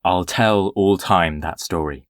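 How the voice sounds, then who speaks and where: An actor playing a brooding young poet beset by phantoms in a verse drama, lyrical and declamatory. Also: male voice, low-pitched, takes it slowly, dry recording, clean studio sound. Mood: resolved, musing.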